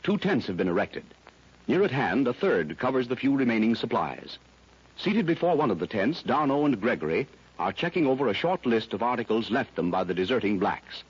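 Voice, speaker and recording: A man's voice: medium pitch, big pitch swings, brisk, breathy edge. A man narrating a 1930s radio serial, setting the scene in a dramatic way. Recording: dull 1930s radio recording.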